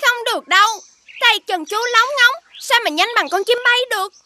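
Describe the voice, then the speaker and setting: A woman playing a crafty, cheeky peasant boy in an animated fairy tale, pert and argumentative. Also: very high voice, moderate pace, heavy background noise. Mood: scheming.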